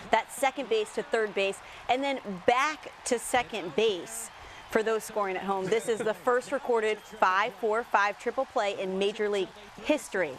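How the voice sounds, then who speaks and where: A woman's voice: mid-range voice, normal speed, a little hiss or hum. A woman co-anchoring and reporting in scripted TV sports news narration. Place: TV broadcast.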